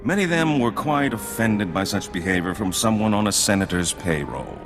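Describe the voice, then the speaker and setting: An actor playing a smug, taunting gambler and double agent in a video game, with a mocking, condescending way of talking. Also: male voice, on the low side, expressive, moderate pace. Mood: smug mockery. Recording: long hall reverb under loud music. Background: loud game music.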